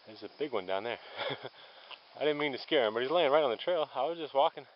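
A man's voice: medium pitch, lively intonation, fast. A man vlogging a long-distance hike, narrating casually and thinking aloud as he walks outdoors. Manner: rambling, easygoing, thinking aloud. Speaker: thru-hiker vlogger. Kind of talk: casual handheld trail narration. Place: outdoors on a forest trail. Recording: dull lo-fi camera audio, faint outdoor noise.

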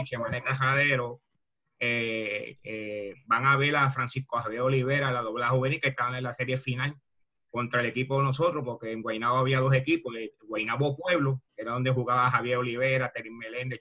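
A man's voice: mid-range voice, sharp tone. A middle-aged man from Puerto Rico with a Puerto Rican accent, reminiscing casually on a video call as a retired ballplayer. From Bayamón, Puerto Rico. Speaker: retired baseball player, interview guest. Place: video call from a room at home.

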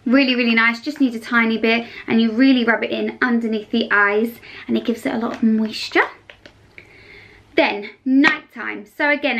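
A woman's voice: on the high side, fairly bright tone. A young woman chatting enthusiastically and emphatically to camera in a casual skincare review style, as a beauty vlogger. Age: young adult. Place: small furnished bedroom, indoors.

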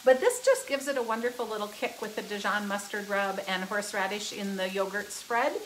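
A woman's voice: mid-range voice, fairly quick, a bit bright, a little hiss or hum, poor audio. A woman in her fifties hosting a live cooking stream from her home kitchen, chatty and upbeat as she explains each step into a phone camera. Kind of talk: casual cooking demonstration. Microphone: phone camera, often held close, sometimes across the kitchen. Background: sizzling pan.

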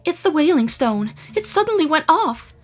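A woman voicing an anxious, high-strung female alien lieutenant in a dramatic cartoon dub, urgent and distressed. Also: sing-song, normal speed, soft background music. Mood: alarmed.

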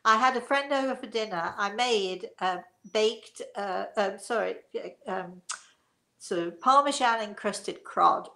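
A woman's voice: medium pitch, vocal fry, a bit bright. A woman in her sixties chatting casually as host of her own show, rambling and effusive as she drifts off on tangents. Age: 60s. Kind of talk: casual chat. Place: living room, indoors.

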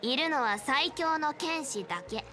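A woman's voice: high-pitched, expressive, unhurried, sharp tone, faint music behind, heavily acted. An actress playing a proud, competitive young swordswoman in a game, speaking bluntly and boastfully.

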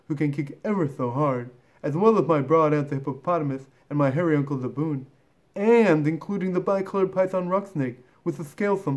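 A man's voice: on the high side, a little mellow, voice pushed up, natural voice. A man voicing a polite, curious young elephant child, speaking earnestly and breathlessly in a storybook reading.

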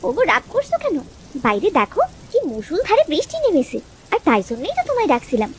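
A woman playing a nagging but devoted village housewife in a cartoon, expressive, shifting between scolding and coaxing. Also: high voice, fast talker, a little hiss or hum. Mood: coaxing, caring. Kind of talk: cartoon voice acting.

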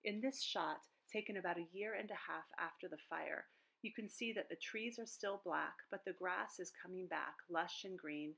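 Woman presenting, with creaky voice. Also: lowish voice, moderate pace, a bit bright. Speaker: children's book author. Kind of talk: presentation to an online audience.

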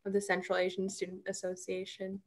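A woman's voice: medium pitch, completely flat delivery, fairly quick. A young woman from Arizona speaking on a video call, shy, giggly and hesitant. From Arizona, USA. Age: young adult. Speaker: student club vice president. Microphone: laptop webcam on video call.

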